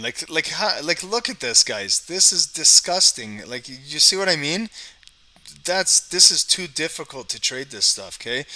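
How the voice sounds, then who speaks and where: Bright-voiced man hosting a live trading stream. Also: medium pitch. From Canada. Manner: chatty, repeats "okay" and "guys", talks to live chat. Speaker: trading livestream host. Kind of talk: casual live trading commentary.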